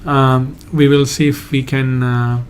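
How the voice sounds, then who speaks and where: A man from Sri Lanka, a migration agent hosting a live Q&A and answering viewer questions in a halting, hedging way. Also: medium pitch, little pitch movement, a little hiss or hum. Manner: halting, hedges often, reads out viewer questions.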